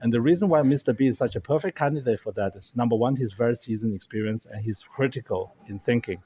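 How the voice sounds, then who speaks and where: A man's voice: mid-range voice, quick pace, mellow, slightly rough. German-native man explaining things casually. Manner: earnest, explanatory, with many restarts. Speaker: host. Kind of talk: casual talk to camera. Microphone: camera held by a friend.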